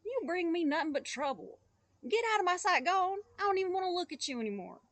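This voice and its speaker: An exasperated father from a storybook, voiced by a woman reading aloud. He scolds in a raised, clipped voice that leaps about in pitch. He sounds worn out with his son. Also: very animated, normal speed, fairly bright tone, husky, no room sound, full character voice. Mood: angry. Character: exasperated gruff father, middle-aged man.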